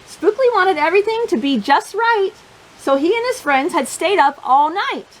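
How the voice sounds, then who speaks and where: Animated, high-pitched woman reading to children. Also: normal speed, poor audio. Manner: playful, sing-song, expressive, addressing children directly. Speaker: children's storytime host. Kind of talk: animated picture-book reading for young children.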